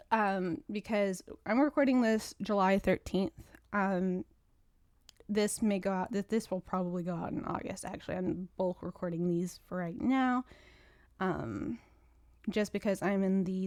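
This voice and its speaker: A woman's voice: medium pitch, darkish tone, no echo, studio quality. A woman hosting her own podcast in casual, rambling chat, sounding stuffy and congested. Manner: rambling, chatty, frequent restarts. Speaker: podcast host.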